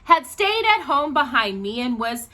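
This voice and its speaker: High-pitched, animated woman reading to children. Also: measured pace. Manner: animated, sing-song reading. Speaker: children's storytime host. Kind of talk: read-aloud storytelling for children.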